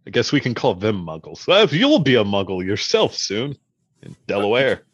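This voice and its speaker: A man playing a breezy, teasing wizard official in an improvised comedy sketch, with a husky voice and a drawn-out delivery. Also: lowish voice, sing-song, highs cut off. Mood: mocking, amused.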